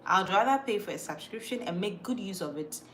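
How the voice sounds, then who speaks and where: A woman's voice: medium pitch, lively intonation, fairly quick, a little hiss or hum. A woman from Ghana with a mixed Ghanaian accent, chatting casually as a livestream host and reading out viewer comments, emphatic and repeating her words as she thinks aloud. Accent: Ghanaian, mixed from moving around.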